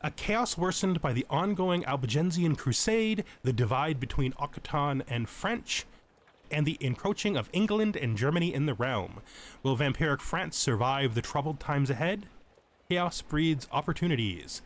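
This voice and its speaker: A man with a voice pitched a little higher than average hosts a let's play. He moves between explanatory commentary and measured readings of lore, told in a storyteller's fashion. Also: lively intonation. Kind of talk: tutorial game commentary mixed with reading lore text aloud.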